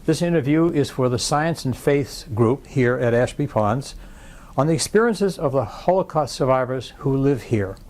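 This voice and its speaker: A man interviewing someone for an oral history project, his voice pitched in the middle range. He asks gentle questions and suggests words when his guest stalls. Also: expressive, normal speed.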